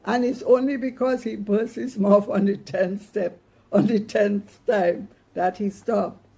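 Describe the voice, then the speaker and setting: A woman's voice: mid-range voice, fairly even intonation, unhurried, creaky, dark tone, husky, voice pushed up. A woman voicing a bossy, exasperated mother in a storybook, with a scolding manner and emphatic Caribbean dialect. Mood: agitated. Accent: Caribbean, Dominica.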